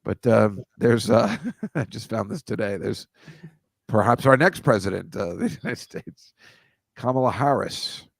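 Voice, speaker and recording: A man's voice: fairly low pitch, lively intonation, a little slow, vocal fry, dry recording. A man hosting a casual comedy chat, wry and rambling, often laughing at his own jokes. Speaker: host.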